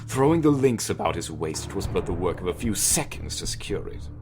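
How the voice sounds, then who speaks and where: A man giving a theatrical, emphatic literary narration of a Gothic horror story, with deliberate pauses. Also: low voice, sing-song, noisy background. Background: music.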